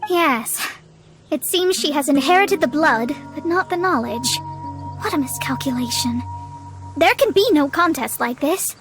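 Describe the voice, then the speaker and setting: Proud young female scholar, very high voice, theatrical formal wording, exasperated temper, video game, faint music. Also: lively intonation, unhurried. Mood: formal, insistent. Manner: formal, haughty, theatrical phrasing. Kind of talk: scripted video game voice acting. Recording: studio voice over game music.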